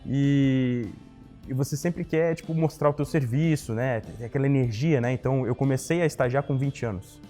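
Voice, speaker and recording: A man's voice: medium pitch, normal speed, warm tone, long reverb tail, soft background music. A young man, an economics graduate, answers interview questions in a casual, reflective way and tags many sentences with "né".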